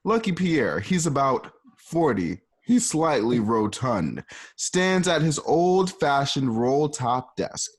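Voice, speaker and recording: Chatty male narrator, animated. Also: medium pitch, slow pace, husky. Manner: chatty, animated stage directions. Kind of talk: casual narration of a radio play.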